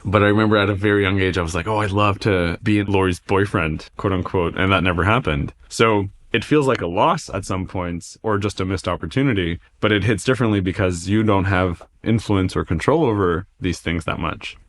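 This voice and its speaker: A man's voice: sits low, brisk, few pauses. A man casually reflecting on his own life in a thoughtful way.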